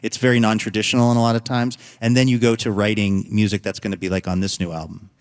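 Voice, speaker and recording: A man's voice: fairly low pitch, little pitch movement, quick pace, dry recording, clean studio sound. Middle-aged American man hosting a radio show. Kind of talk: radio show presenting and live interview. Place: on stage at a theater.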